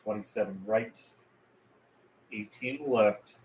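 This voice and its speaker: A man's voice: lowish voice, fairly even intonation, takes it slowly, darkish tone, rough recording. A man playing a cornered, nervous villain in a script table read. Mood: nervous, reluctant. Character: Chevalier, cornered, nervous male villain with soulless air.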